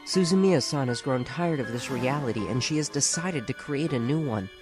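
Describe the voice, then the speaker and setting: A man playing a calm, polite young man who now appears as a glowing spirit, speaking smoothly with a light teasing edge. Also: medium pitch, normal speed, natural voice. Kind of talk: fan audiobook acting. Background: music.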